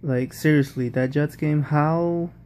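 A man's voice: fairly high pitch, fairly even intonation, unhurried, darkish tone, slight background noise. A man giving casual, rambling post-game football commentary, often repeating himself and restarting sentences. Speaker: football vlogger.

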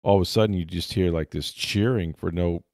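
A man's voice: deep voice, steady tone, a little fast, creaky, a little mellow, no echo, studio quality. A man hosting a sports podcast, chatting casually about games and players. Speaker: sports podcast host and football reporter.

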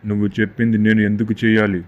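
A man's voice: fairly low pitch, monotone, fast talker, lightly acted. A man voicing a fearsome, hungry but helpful cave demon in a children's cartoon story. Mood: gruff, demanding. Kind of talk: scripted cartoon story dialogue. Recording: dull lo-fi audio, faint noise.

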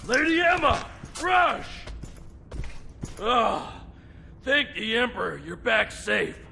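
A woman acting an excitable, loyal young soldier in a game cutscene, urgent and commanding. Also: a little high, takes it slowly, vocal fry, a little hiss or hum, exaggerated voice. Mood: relieved.

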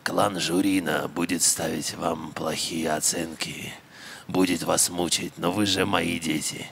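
A man putting on a drowsy old mafia godfather with a Georgian accent, low and slow. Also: steady tone, vocal fry, a bit bright, a little hiss or hum, heavily acted. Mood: drowsy, fatherly.

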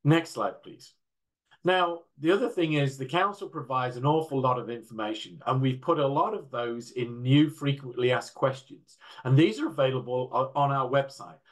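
An older man giving a measured, explanatory slide presentation as a panel speaker on an indoor video call. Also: medium pitch. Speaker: panel speaker from a standards council.

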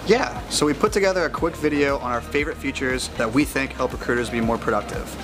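A young man delivering scripted promotional narration for software. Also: mid-range voice, quick pace, music in the background. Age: young adult. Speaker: software product presenter.

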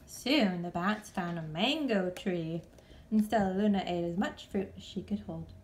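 A woman reading a children's picture book aloud as an expressive storyteller. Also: fairly low pitch, unhurried, a little hiss or hum. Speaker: storybook reader.

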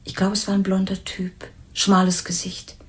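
A woman's voice: medium pitch, monotone, normal speed, lightly acted. An actress playing a hesitant but cooperative young woman questioned as a witness in a crime radio play. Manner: hesitant, recalling in short phrases.

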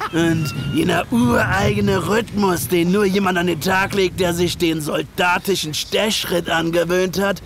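An actor playing a cold ex-soldier killer, narrating in a measured, dramatic way for an audio drama. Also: male voice, high voice, vocal fry. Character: cold, deliberate male ex-soldier killer recounting murder. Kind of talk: scripted first-person audio drama narration. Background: music.

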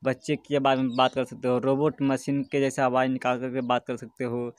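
Man with a flat, clear delivery. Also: medium pitch, fairly quick. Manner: repeatedly checks in with a tag phrase. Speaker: tutorial video host. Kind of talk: casual step-by-step app tutorial.

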